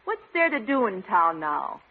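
A well-to-do American lady, coy and gracious, from a vintage radio comedy. Her pitch sweeps freely as she flirts with poise. The recording is narrow and phone-like. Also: sing-song, measured pace. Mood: coy, inviting. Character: charming, coquettish, well-to-do lady, gracious and forward. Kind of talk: 1950s radio situation comedy acting. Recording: tinny, narrow-band old radio recording.

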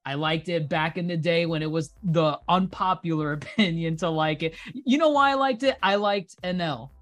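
A podcast co-host in casual chat, talkative and quick to laugh, restarting sentences as thoughts pile up. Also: mid-range voice, fairly bright tone. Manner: chatty, laughs often, trails into restarts.

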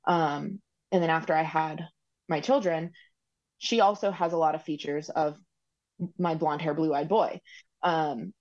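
A woman guest speaks at length in a relaxed podcast interview, her voice low for a woman. She rambles along with frequent ums and likes. The audio is dull and lo-fi. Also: a little slow, pauses often. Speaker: guest, recipient parent. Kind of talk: conversational interview.

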